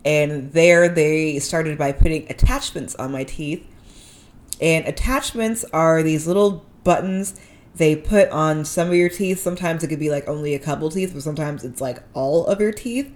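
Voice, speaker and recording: Low-voiced woman with a slight lisp. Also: moderate pace. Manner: informal, conversational, slangy. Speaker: podcast host. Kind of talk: casual personal monologue.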